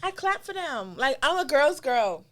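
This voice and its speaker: A woman's voice: sits high, sing-song, unhurried, a bit bright. A young woman with a Caribbean accent, chatting casually as a podcast co-host in a blunt, emphatic, slangy way. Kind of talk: casual chat.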